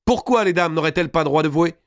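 A man voicing a bold, eager young noblewoman with a thin, shrill voice, declaiming in an audiobook story. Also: quick pace, no echo, studio quality, natural voice. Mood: eager, solemn.

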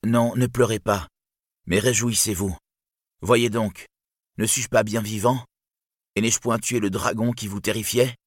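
Man voicing a proud, wrathful wounded warrior, husky. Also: fairly low pitch, normal speed, dry recording, clean studio sound. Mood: triumphant. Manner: declamatory, indignant, imperious. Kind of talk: dramatic fantasy storybook reading.

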